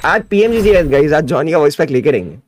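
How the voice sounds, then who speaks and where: Excitable young male gaming streamer. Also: on the high side, quick pace, a little hiss or hum. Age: young adult. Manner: chatty, excitable, talks to viewers as guys. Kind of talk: excited live reaction stream. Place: gaming room at a desk.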